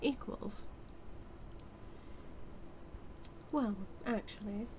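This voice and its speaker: Woman to act British villainess for intimate audio drama; unhurried, smug, seductive teasing of a superhero rival, light ambient noise underneath. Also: medium pitch, warm tone, lightly acted. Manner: teasing, sly, flirtatious, drawn-out delivery. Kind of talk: intimate audio roleplay monologue.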